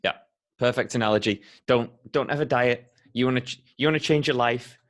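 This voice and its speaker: A man's voice: medium pitch, brisk, fairly bright tone. A young man hosting a podcast, asking easygoing questions and encouraging his guest on a video call from a living room. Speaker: podcast host. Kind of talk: casual video call interview.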